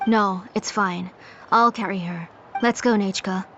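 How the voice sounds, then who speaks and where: A woman's voice: mid-range voice, steady tone, measured pace, fairly bright tone, dull audio, a little hiss or hum. A woman playing a protective, controlling mother in an anime-style game, speaking politely and measuredly with a stern edge.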